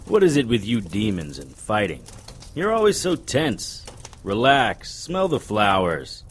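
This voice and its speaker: A man playing a gruff, world-weary supernatural investigator in an audio drama, speaking in a wry, terse and insistent way. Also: lowish voice, huge pitch swings, measured pace, a bit bright, slight background noise, full character voice. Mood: sardonic. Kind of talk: audio drama acting and first-person narration.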